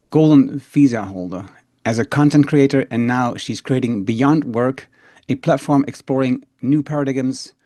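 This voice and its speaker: A man hosting a podcast, introducing his guest and asking questions in a measured way. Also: mid-range voice, dark tone, dry recording, studio quality. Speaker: podcast host and coach.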